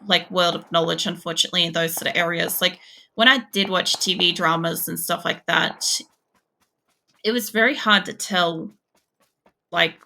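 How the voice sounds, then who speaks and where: Gravelly bright-voiced Australian woman. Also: on the low side. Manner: rambling, frequent fillers and swearing. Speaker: game streamer. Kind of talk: casual gaming commentary chatting with viewers.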